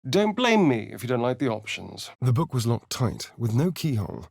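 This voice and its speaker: An actor voicing a sly, vain vampire rogue for a video game, with an English accent and an arch, theatrical, drawling delivery. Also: male voice, medium pitch, sing-song, normal speed, vocal fry, a little mellow, husky, exaggerated voice. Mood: wry, sardonic.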